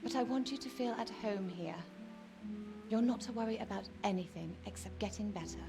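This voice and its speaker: A woman playing a gentle, pious noblewoman in a video game, speaking in a measured, formal, consoling way. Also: medium pitch, creaky, close to an everyday voice. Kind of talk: dramatic game cutscene acting. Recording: loud music under game dialogue.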